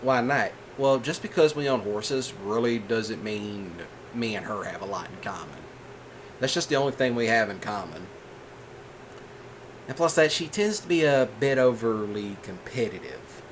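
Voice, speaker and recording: A man voicing a calm, patient rancher boyfriend in a soft-spoken ASMR roleplay, folksy and reassuring. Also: on the low side, moderate pace, slight background noise, close to an everyday voice.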